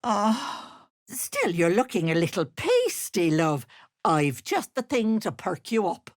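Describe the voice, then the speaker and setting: A woman's voice: mid-range voice, big pitch swings, slow pace, no echo, studio quality. An actress voicing a kindly old Irish village woman who fusses and calls people love. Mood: cozy, motherly. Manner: folksy and fussing, calling people love. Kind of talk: video game voice acting.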